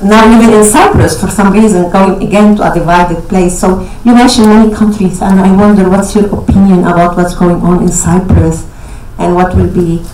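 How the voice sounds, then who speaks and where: A woman's voice: medium pitch, fairly even intonation, moderate pace, few pauses, far from the mic. A woman born in Iraq asking an informal question from the audience. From born in Iraq, later Lebanon and Venezuela. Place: indoor conference room. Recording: echoey hall from off, faint noise.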